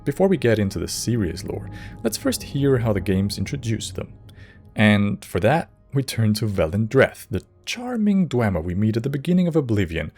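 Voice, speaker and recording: A man reading scripted lore narration in a measured, wry, explanatory way, as a video essay narrator. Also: fairly low pitch, a little mellow. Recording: music bed under narration. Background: background music.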